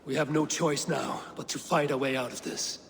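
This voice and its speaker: Man playing a measured, resolute Viking leader. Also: medium pitch, steady tone, vocal fry, lightly acted. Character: steady, pragmatic Viking clan leader. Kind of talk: dramatic video game acting. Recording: reverberant hall, faint noise.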